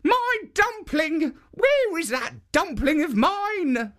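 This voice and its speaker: A storyteller voicing a foolish, cheerful old woman who calls out and giggles in a very high, sing-song voice. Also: very animated, slow, fairly bright tone, full character voice. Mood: pleading. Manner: shrill, sing-song cries. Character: giggling old woman chasing her dumpling.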